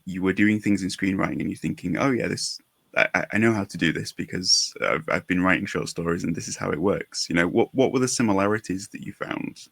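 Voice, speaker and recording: A man's voice: low voice, vocal fry, fairly bright tone, no room sound. A man hosting a conversational interview, working through long, exploratory questions and restating as he goes. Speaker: podcast host, interviewer.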